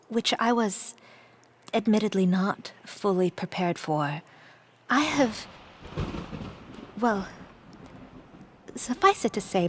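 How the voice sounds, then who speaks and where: A woman's voice: medium pitch, slight background noise, close to an everyday voice. A woman playing an earnest young acolyte in a fantasy audio drama, with formal, careful wording.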